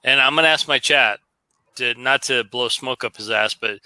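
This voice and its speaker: A man's voice: medium pitch, normal speed, sharp tone. A man hosting a casual livestream chat, speaking earnestly and addressing his viewers. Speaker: streamer host.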